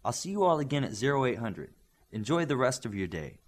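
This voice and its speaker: A man voicing a brisk, efficient woman teacher in an audiobook. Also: medium pitch, big pitch swings. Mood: instructive.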